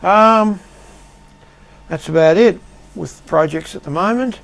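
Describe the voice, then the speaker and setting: A man giving an unscripted show-and-tell of his projects as an amateur radio operator, speaking in a halting, matter-of-fact way. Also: high voice, vocal fry, a bit bright. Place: indoor home radio shack.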